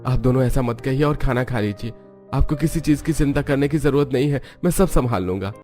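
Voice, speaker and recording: A man performs a poor, hardworking labourer in a cartoon family drama. He sounds weary yet devoted, in a middle-pitched voice. Faint music plays behind him. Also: brisk, warm tone, close to an everyday voice. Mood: earnest, reassuring.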